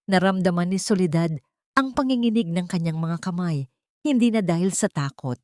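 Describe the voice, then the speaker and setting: A woman reading a scripted dramatic story in a solemn, deliberate, emotive way. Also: lowish voice, dark tone, no echo, studio quality.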